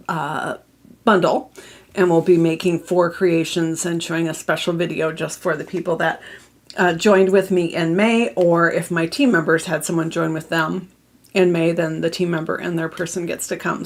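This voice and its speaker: A woman's voice: lowish voice, fairly even intonation, normal speed. Congested, chatty woman craft host. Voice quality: voice not strong, cough drop in mouth. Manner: chatty and friendly, many fillers, laughs at herself. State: congested from a cold. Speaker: craft demonstrator hosting a live stream. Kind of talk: casual live craft tutorial chat. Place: home craft room.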